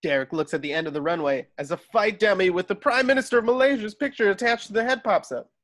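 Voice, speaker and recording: A man's voice: high voice, fast talker, a bit bright. A man narrating stage directions from a script at a table read over a video call.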